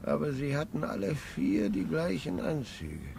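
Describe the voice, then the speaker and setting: An ancient grandpa rambling about the past, high lively wavering voice, gravelly, slow, hissy old radio tape. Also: male voice, full character voice. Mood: musing. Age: older. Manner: wavering, musing, repeats old memories. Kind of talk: 1950s radio play acting.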